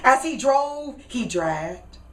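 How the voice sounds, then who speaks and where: A higher-voiced American woman performs a dramatic spoken word monologue. She speaks slowly and deliberately, letting pauses fall between phrases. The sound is roomy and echoing, as in a live solo performance. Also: animated. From Kentucky, United States. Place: radio studio live performance. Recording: roomy echo, distant from mic.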